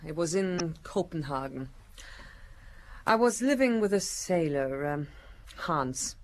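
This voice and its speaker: An actress playing an evasive, cunning female criminal under questioning, halting and defensive, in a radio murder mystery. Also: low-pitched, lively intonation. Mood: subdued confession.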